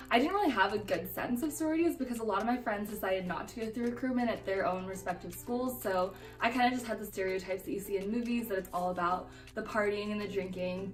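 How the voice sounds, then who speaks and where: A nineteen-year-old woman answering interview questions in an informal way, gently qualifying what she says. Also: medium pitch. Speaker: college student, sorority member. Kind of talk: casual personal interview answers. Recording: echoey, distant mic, music underneath.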